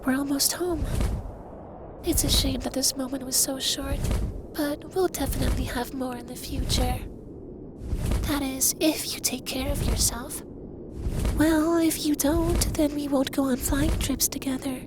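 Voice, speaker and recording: A man voicing an effeminate young dragon lover in an intimate ASMR roleplay, speaking softly and breathily, teasing and commanding yet doting. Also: very high-pitched, steady tone, measured pace, background noise. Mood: teasing, affectionate.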